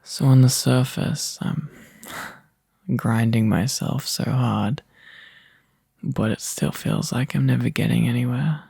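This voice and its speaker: A man playing a tired, overworked young boyfriend in a soft, intimate ASMR roleplay, halting and breathy, often dropping into a whisper close to the mic. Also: medium pitch, fairly even intonation, dry recording, heavily acted. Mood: weary, apologetic. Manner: halting, drowsy, sighing delivery. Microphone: close microphone, dry.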